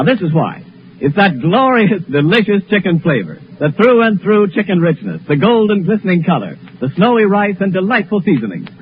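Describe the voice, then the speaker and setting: A male announcer reading in an emphatic, old-time radio advertising style. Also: sits high. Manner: emphatic sales delivery. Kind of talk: 1930s-40s radio ad announcing. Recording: dull, hissy old radio recording.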